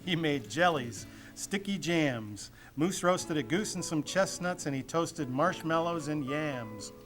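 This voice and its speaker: A man's voice: fairly high pitch, animated, slow pace, a bit bright. A man reading a children's storybook aloud in a playful, theatrical way. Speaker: storybook reader. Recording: music playing behind the voice.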